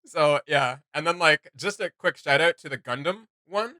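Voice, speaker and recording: A man's voice: a little high, moderate pace, bright, forceful tone, no room sound. A man hosting a fan reaction show, giving casual, slangy and enthusiastic commentary.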